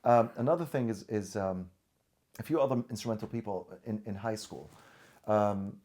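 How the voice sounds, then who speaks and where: A man's voice: fairly low pitch, normal speed, mellow. A man from Syria whose first language is Arabic, a podcast guest telling personal stories earnestly, often restarting and repeating words. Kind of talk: personal storytelling in conversation.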